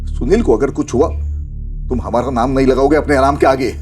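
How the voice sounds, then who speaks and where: A man's voice: medium pitch, brisk, a little mellow, soft background music, natural voice. A man playing a harsh older father, indignantly berating his son in a TV crime drama. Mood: outraged. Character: angry, scolding older father, harsh and disapproving. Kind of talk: Hindi TV crime drama acting.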